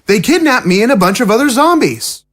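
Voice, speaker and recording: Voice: mid-range voice, big pitch swings, fairly quick, fairly bright tone. A performer playing an earnest, matter-of-fact young zombie boy in an audio drama.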